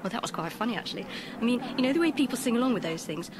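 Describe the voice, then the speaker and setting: Actress as high-voiced bubbly young British woman. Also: expressive, racing, close to an everyday voice. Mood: amused, chatty. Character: bubbly, chatty young British female language learner. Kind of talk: chatty scripted testimonial. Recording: clear background noise and ambience.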